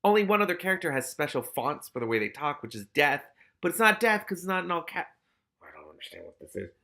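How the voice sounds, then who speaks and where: Guy reading a book out loud w casual comments, kinda creaky gravelly voice. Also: fairly high pitch, big pitch swings, fast. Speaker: host reading a novel aloud with commentary. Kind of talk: expressive read-aloud with casual asides.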